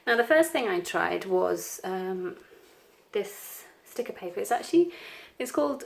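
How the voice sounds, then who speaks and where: Croaky middle-aged woman with a cold, mid pitch, rambling and apologetic planner chat. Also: expressive, measured pace. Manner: rambling, apologetic, frequent ums. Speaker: planner vlogger. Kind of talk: casual chat over crafting. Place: home craft desk, indoors.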